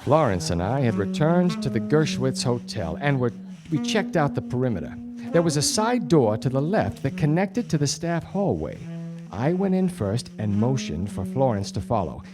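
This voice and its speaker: An actor playing a wry, dogged 1940s detective, clipped and hard-boiled, in live radio noir style on a stage. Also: male voice, mid-range voice, sing-song, moderate pace, over music. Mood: wry narrating. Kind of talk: 1940s radio noir acting and first-person narration. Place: theatre stage before a studio audience.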